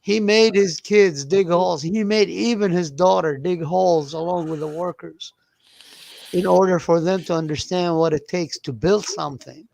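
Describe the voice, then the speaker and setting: A man's voice: sits high, normal speed, gravelly, darkish tone, dry recording, poor audio. A man from Iraq arguing bluntly and profanely in a heated livestream debate.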